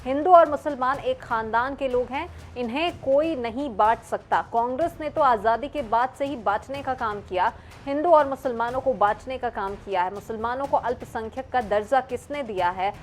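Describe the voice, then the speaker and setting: A woman's voice: on the high side, moderate pace, soft background music. A woman anchoring live television news and handing over to reporters.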